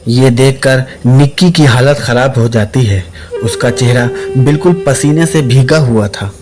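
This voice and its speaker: Man, medium pitch, storyteller, scripted suspense narration, clear music underneath. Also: unhurried. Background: background music.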